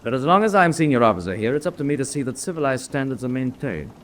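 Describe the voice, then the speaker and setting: An actor playing a principled German senior U-boat officer in a radio drama, deliberate and authoritative. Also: male voice, medium pitch, animated, a bit bright, slight background noise, natural voice. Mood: grave, weighing.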